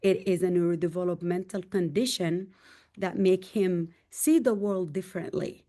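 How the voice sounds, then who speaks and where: A middle-aged woman from Sudan with a Sudanese accent, a guest on a podcast, telling her story in an emphatic, heartfelt way and rising in pitch on key points. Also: steady tone, moderate pace, warm tone. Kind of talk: candid conversational storytelling.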